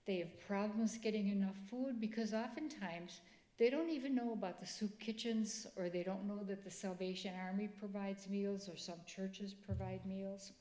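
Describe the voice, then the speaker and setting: A middle-aged woman, speaking softly in a church presentation. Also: medium pitch, moderate pace. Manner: soft-spoken, quiet voice. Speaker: ministry speaker. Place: church sanctuary. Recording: echoey church room.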